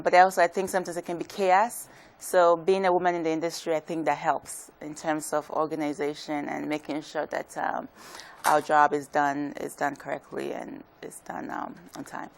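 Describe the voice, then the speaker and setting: A woman from Ghana, a contractor, answering interview questions softly with frequent hesitant "um"s. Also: low voice, steady tone, normal speed, creaky. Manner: soft-spoken, hesitant, frequent "um"s. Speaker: contractor, guest.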